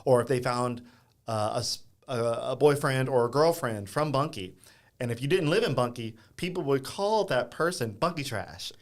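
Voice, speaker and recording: A man hosting a casual podcast interview, easygoing and hesitant, filling pauses with ums and uhs. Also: mid-range voice, lively intonation. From Louisiana. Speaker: podcast host.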